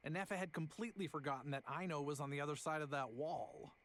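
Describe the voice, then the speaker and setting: A man's voice: a little high, quick pace, a bit bright, a little hiss or hum, close to an everyday voice. A man voicing an easygoing young traveller in a video game, explaining in a wry, conversational way.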